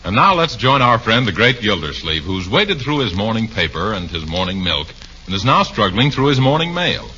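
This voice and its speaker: A man announcing a 1940s radio comedy with smooth, measured delivery. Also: lowish voice, very animated. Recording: dull 1940s radio broadcast over music.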